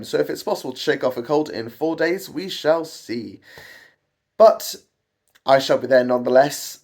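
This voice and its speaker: A man teaching a guitar arrangement in a casual, wry, self-deprecating way, his voice croaky from an oncoming cold. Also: medium pitch, darkish tone. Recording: guitar playing behind the voice.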